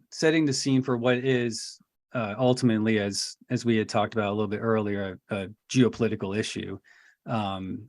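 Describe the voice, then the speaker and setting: A man's voice: lowish voice, dry recording, clean studio sound. Man hosting, hesitant with his questions. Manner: hesitant, repeats words as he frames his questions. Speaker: podcast host. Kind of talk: casual interview talk.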